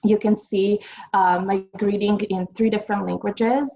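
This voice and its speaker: A woman from Italy, giving a webinar presentation over a video call and filling pauses with frequent ums and uhs. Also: medium pitch, level tone, vocal fry, dark tone. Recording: dull lo-fi video call audio.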